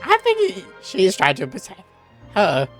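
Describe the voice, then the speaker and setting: A woman's voice: mid-range voice, wildly swooping pitch, moderate pace. A woman giving casual, playful gameplay commentary with joking asides. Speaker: streamer playing a game. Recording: game music behind the voice.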